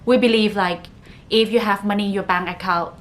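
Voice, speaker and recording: A woman's voice: medium pitch, steady tone, a little fast. A woman in her thirties from northern Thailand, a native Thai speaker who hosts a casual solo podcast in English with a Thai accent and a chatty, filler-heavy way of talking. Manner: chatty, leans on frequent "like" fillers. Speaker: podcast host.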